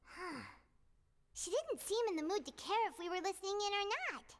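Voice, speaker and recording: A woman voicing a tiny, curious, childlike sprite companion in a video game, with a squeaky, chirpy voice. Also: big pitch swings, normal speed. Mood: curious, puzzled. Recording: long hall reverb, clearly noisy, distant.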